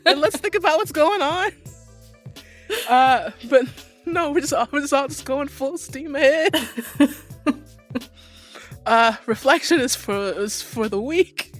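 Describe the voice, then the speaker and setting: A woman's voice: high voice, animated, unhurried, pauses often, soft background music. A woman hosting a podcast interview in a casual, playful and informal conversational style. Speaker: podcast host interviewing an author.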